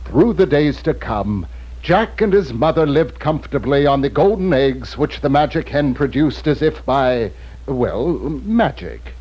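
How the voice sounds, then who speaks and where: A man narrating a scripted children's storybook in an expressive, dramatic way. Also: a little high, poor audio. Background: loud music.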